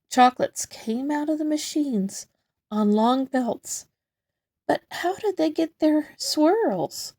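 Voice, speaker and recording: A woman's voice: fairly high pitch, a little mellow. A woman reading a children's story aloud, expressively stressing key words for young listeners. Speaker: storybook reader. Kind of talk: children's read-aloud narration.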